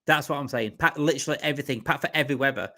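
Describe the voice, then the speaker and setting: A man's voice: mid-range voice, racing, sharp tone. A man from Yorkshire with a Yorkshire accent, hosting a casual live fan stream, rambling and repeating himself as he reads out viewer comments. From Yorkshire, England. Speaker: livestream host.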